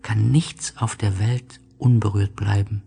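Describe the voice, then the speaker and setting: A man narrating a literary story in the first person, in a reflective, measured and melancholy manner. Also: on the low side, steady tone, creaky, dark tone, breathy edge, soft background music.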